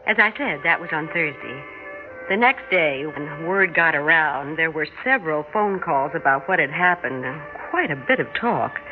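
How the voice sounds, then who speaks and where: An actress with an American accent playing a calm suburban housewife and mother who has hunches, in a radio drama. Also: deep voice, normal speed. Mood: composed. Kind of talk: radio drama narration and dialogue. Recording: muffled radio recording with prominent music.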